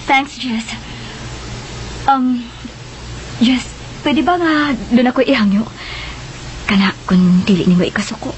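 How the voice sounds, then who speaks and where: An actress playing a hesitant comrade making a tender request, softly, in a radio drama. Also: female voice, fairly high pitch, slow pace, long pauses, a little hiss or hum, poor audio. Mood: shy, hesitant. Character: hesitant female comrade asking a tender favor. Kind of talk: melodramatic radio drama acting.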